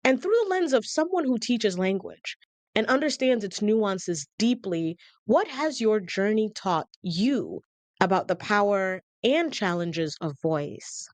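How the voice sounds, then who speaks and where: A woman's voice: mid-range voice, lively intonation, a little slow, no echo. Woman podcast host, close dry sound. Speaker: podcast host, communication coach. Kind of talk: scripted podcast introduction and interviewing.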